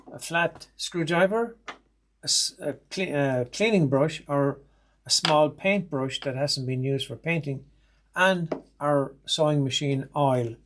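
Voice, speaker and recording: A middle-aged man with an Irish accent talks through a repair lesson step by step, methodical and plain-spoken. His voice sits a little high for a man. He often pauses on an um or an uh while he works. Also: slight background noise. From Ireland. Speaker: sewing machine technician. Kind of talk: instructional demonstration.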